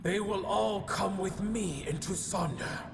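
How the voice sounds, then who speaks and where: An actor voicing a solemn, aloof otherworldly guardian in a video game, stately and ominous, with phrases trailing into breathy whispers. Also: male voice, sits high, measured pace, music in the background. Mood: stern, condemning. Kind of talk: dramatic video game cutscene acting. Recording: long cavernous reverb, loud ambient noise.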